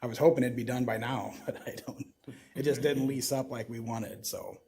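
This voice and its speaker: A man's voice: mid-range voice, moderate pace, creaky, darkish tone. A man applying to a city board, answering questions in a hesitant, hedging way. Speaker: applicant.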